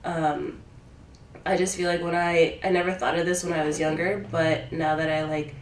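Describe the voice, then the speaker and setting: A woman's voice: low voice, little pitch movement, moderate pace, roomy sound, far from the mic, a little hiss or hum. An eighteen-year-old woman from the United States answering interview questions in a casual, hesitant way, with lots of "um" and "like". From Ohio, USA; born in Taiwan. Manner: hesitant, many fillers like "um" and "like".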